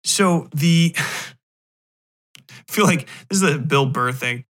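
A man's voice: fairly high pitch, takes it slowly, fairly bright tone. An American man hosting a podcast in a casual, comedic rant, digressing often, swearing and chuckling as he talks. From United States, Ohio. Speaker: podcast host.

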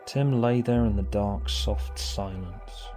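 A man reading scripted story narration in a measured, deliberate way. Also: on the low side, mellow, faint music behind. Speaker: author and narrator.

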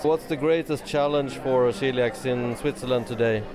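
A man's voice: mid-range voice, normal speed, a crowd in the background, low-quality recording. A man working as an interviewer, asking questions in a plain interview style.

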